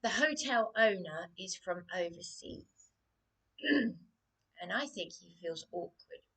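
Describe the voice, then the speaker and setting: A woman vlogging alone, hoarse and losing her voice, speaking hesitantly and haltingly with many restarts, often dropping to a whisper. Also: lowish voice, lively intonation, a bit bright, rough recording. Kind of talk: personal vlog monologue.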